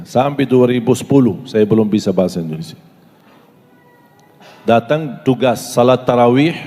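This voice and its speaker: A man's voice: mid-range voice, warm tone. Male preacher in an echoey hall. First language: Arabic. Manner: asks the audience questions, recites in Arabic, slow deliberate listing. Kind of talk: sermon to a live audience. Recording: long echoey hall reverb.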